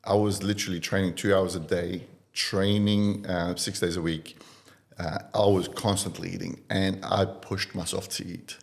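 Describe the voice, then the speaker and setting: A man from Iraq in his thirties, a guest on a podcast, telling his life story in a relaxed way with many fillers. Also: deep voice, normal speed, gravelly. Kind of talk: casual interview talk.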